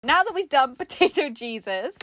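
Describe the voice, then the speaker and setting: A woman's voice: high voice, very animated, moderate pace, a bit bright, slight background noise. A woman co-hosting a craft show in casual comedic chat, giggly and playfully sarcastic as she presents.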